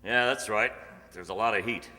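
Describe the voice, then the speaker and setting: A man playing a terse undercover police detective in a radio crime drama, matter-of-fact and deadpan, recorded in an echoey hall. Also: on the low side, normal speed, slight background noise. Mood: guarded, brisk. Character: terse undercover police detective narrating his own case. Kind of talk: clipped radio crime drama narration and dialogue. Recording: echoey hall, loud music behind.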